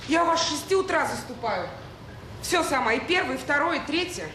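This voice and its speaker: A woman's voice: high-pitched, animated, fairly quick, a bit bright, reverberant, a little hiss or hum. An actress playing an overworked, touchy woman cook in a Soviet radio drama, blunt, defensive and aggrieved.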